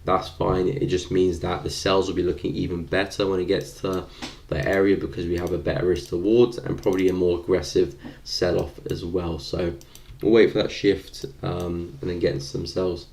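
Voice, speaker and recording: A young man with a very low voice and a gravelly, creaky texture, speaking. He talks in a relaxed, thinking-aloud way, as if he were commenting on a live stream. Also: moderate pace, slight background noise. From London, UK.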